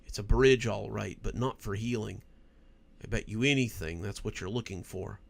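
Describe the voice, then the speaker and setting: A man's voice: lowish voice, moderate pace, gravelly. A man voicing a suspicious, bitter male character in a horror story, insistent and foreboding. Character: suspicious, bitter man recalling an ominous gift.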